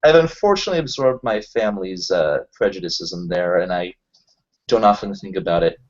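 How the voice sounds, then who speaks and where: A man's voice: low voice, expressive, a little fast. A man improvising as a player in a roleplaying game, with a hesitant, wordy way of talking. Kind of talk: in-character improvised roleplay chat. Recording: dull, lo-fi online voice chat.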